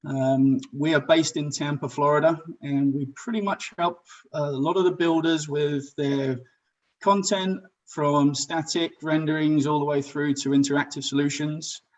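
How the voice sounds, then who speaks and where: A man from Southeast England with an English accent, introducing himself on a panel in a relaxed way. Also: a little high, measured pace. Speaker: sales manager, panelist. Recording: dull lo-fi call audio.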